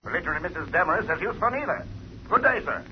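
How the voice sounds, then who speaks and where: A pompous, curt law partner. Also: male voice, high-pitched, sing-song, fast. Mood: haughty. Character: stiff, haughty senior law partner. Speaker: lawyer. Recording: dull vintage radio broadcast with faint hiss.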